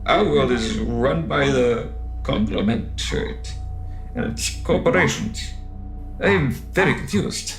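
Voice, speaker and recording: A man's voice: medium pitch, expressive, takes it slowly, faint music behind. A man playing an alien conscript soldier in an audio drama, blunt and wistful, speaking in literal, formal phrases with puzzled questions. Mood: earnest, puzzled.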